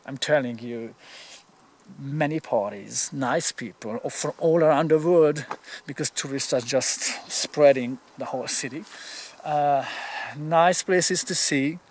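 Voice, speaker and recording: A man's voice: a little high, slow pace. A young Hungarian man with a Hungarian accent, chatting casually in English for a vlog outdoors in the cold, laid-back and swearing freely.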